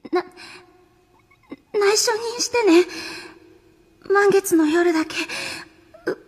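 Very high, cute shy girl. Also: takes it slowly, long reverb tail. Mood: shy, secretive.

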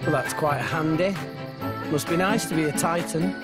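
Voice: medium pitch, moderate pace, lightly acted. An actor playing an earnest, plucky teenage boy adventurer who talks informally in a video game. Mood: bemused. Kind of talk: video game cutscene acting. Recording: music under the voice.

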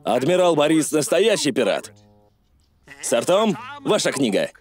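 A man's voice: fairly high pitch, big pitch swings, measured pace, faint music behind. A man voicing a proud, pompous little animal pirate admiral, an old sea veteran, in a cartoon dub.

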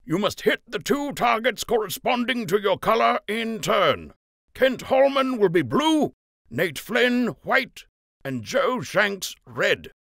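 A man's voice: high-pitched, big pitch swings, takes it slowly, a bit bright, dry recording, clean studio sound, pitched above the performer's own voice, exaggerated voice. A man voicing a stern, rousing rabbit army captain in an audiobook, declaiming commands to his archers. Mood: commanding. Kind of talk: audiobook character voice.

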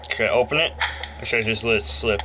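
A man giving casual gameplay commentary, talking to himself in a playful, mock-excited way. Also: on the low side, quick pace, heavy background noise. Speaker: let's play commentator. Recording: loud game music over the voice. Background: handheld game console's loud music and sounds.

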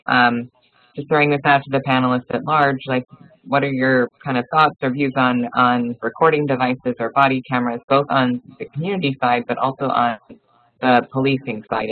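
A man moderates the panel, putting casual, loosely phrased questions to the speakers. His delivery stays flat, carried over a dry, tinny phone line. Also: mid-range voice, no room sound. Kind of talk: webinar moderation.

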